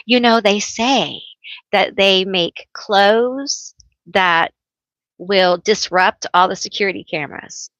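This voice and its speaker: A woman hosting a casual tarot live stream, chatty and teasing herself, laughing often and calling herself a loud yeller. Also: medium pitch, slow, dry recording, lo-fi sound.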